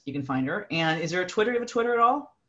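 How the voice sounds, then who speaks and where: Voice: medium pitch, lively intonation, rapid-fire, a bit bright, muffled recording. A podcast host reading a guest's bio and asking questions in a chatty, hesitant way with many restarts. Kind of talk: podcast interview conversation.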